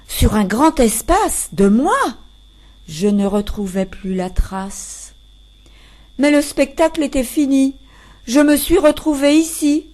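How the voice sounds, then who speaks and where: A woman reciting poetry expressively, with deliberate, measured phrasing and pauses between the verses. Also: a little high, darkish tone. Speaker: poetry reader.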